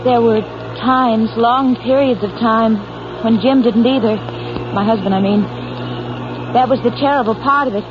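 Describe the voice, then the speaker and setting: A distraught young wife played by an actress in an old radio thriller, her high voice halting and trembling with emotion. The recording is dull, with plain vintage noise around her. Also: fairly even intonation, natural voice. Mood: distressed. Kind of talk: 1940s American radio melodrama acting.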